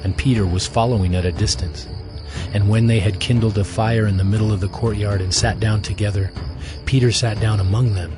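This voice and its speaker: A man's voice: on the low side, fairly even intonation, a little fast, over music. A man narrating scripture as a scripted storyteller.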